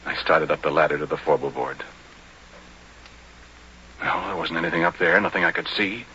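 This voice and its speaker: An American actor playing a haunted former oil rig worker in a 1940s radio drama, telling his tale in a folksy, halting, confessional way. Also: extremely low pitch, sharp tone. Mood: uneasy, tense. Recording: dull, noisy 1940s radio recording.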